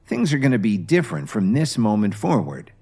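A man voicing an earnest, quietly determined young husband close to his own voice. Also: mid-range voice, sing-song, a little fast, gravelly, slightly rough, exaggerated voice.

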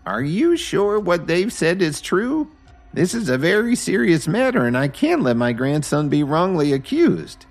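A man voicing a stern elderly grandmother who scolds her grandson, high and slow. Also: big pitch swings, vocal fry, husky, soft background music, full character voice. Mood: worried.

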